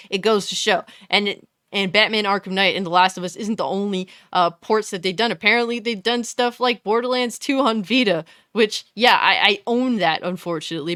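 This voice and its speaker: A woman with a fairly deep voice giving a blunt, scornful, profane commentary rant. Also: a bit bright, dry recording, clean studio sound. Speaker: commentary YouTuber. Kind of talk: ranting commentary.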